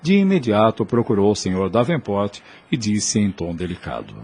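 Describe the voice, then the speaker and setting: A man with a Brazilian accent narrating a scripted audio drama in a husky voice. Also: lowish voice, big pitch swings, normal speed, darkish tone.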